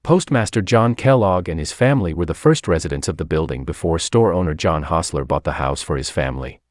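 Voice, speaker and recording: Deep male narrator for scripted local history, animated inflection, dry studio-like sound. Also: brisk, no room sound.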